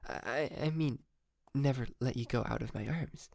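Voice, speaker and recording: A man's voice: medium pitch, normal speed, gravelly, a little mellow, lightly acted. A man playing a shy, polite, clingy yandere kidnapper in an intimate ASMR roleplay, stammering and apologetic, close to the mic. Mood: flustered. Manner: hesitant, stammering, nervous giggles, apologetic. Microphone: close microphone, dry.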